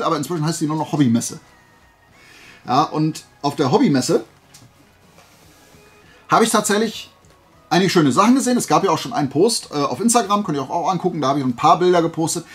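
Higher-voiced man chatting casually. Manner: chatty, digressive, self-deprecating. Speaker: YouTube vlogger showing flea market and fair finds. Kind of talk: casual rambling haul chat to viewers.

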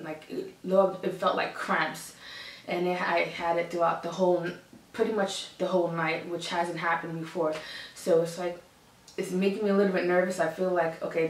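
A woman in her thirties chatting to the camera in a casual update from her home office, giggling often and correcting herself. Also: lowish voice. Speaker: vlogger. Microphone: camera across the desk. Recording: echoey room, mic a bit far.

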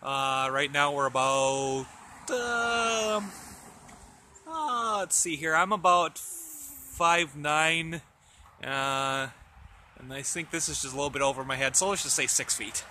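A man's voice: fairly high pitch, slow pace, sharp tone. A man giving a casual, off-the-cuff walkaround narration for a home video, thinking aloud hesitantly as he films outdoors on a handheld camera. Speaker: amateur video host showing his radio antennas. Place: outdoors in a snowy residential yard. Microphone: handheld camera or phone held by the speaker. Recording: noisy outdoor recording with traffic.

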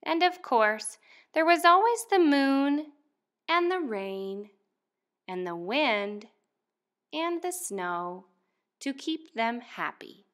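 A young woman speaks like a primary teacher reading a picture book to small children. Her voice sits a little high and moves with very lively pitch. She takes her time, telling things slowly and expressively. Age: young adult. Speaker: first grade teacher.

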